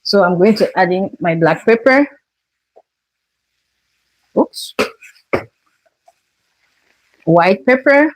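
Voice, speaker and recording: A woman's voice: mid-range voice, lively intonation, takes it slowly, no room sound, low-quality recording. A woman from Cameroon hosting a live cooking stream from her kitchen, talking viewers through each step and greeting them. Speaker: cooking show host.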